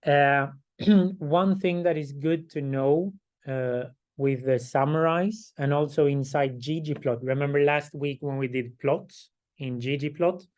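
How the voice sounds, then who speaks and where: A hoarse middle-aged man lectures a class at an unhurried, deliberate pace. His voice sits a little high for a man, and he keeps clearing his throat. He walks through each step and checks in with "right?" as he goes. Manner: slow, explaining step by step, frequent "right?" check-ins. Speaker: instructor.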